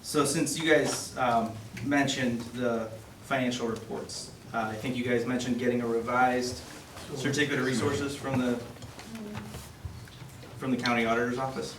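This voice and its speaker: A man's voice: medium pitch, little pitch movement, normal speed. A man speaking in a matter-of-fact way at a township board meeting. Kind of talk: meeting discussion. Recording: echoey room, distant mic, background noise.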